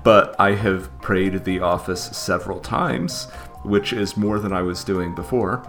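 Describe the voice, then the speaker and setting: A man's voice: low-pitched, fairly even intonation, a little slow, a bit bright, soft background music. A man co-hosting a podcast in casual, easygoing chat with hesitant fillers and chuckles. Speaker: podcast co-host.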